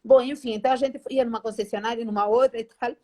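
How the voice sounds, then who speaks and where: A middle-aged woman from Spain with a Spanish accent, a guest on a video-call interview, telling animated stories and acting out old conversations. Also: a little high, fast talker. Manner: animated, acts out past dialogues, frequent "né?" tags. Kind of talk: casual storytelling interview.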